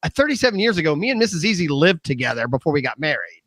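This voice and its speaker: An American man in his fifties chatting casually at a round-table talk show, with an animated, opinionated manner. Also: fairly high pitch, very fast, dry recording. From Midwest United States. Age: 50s.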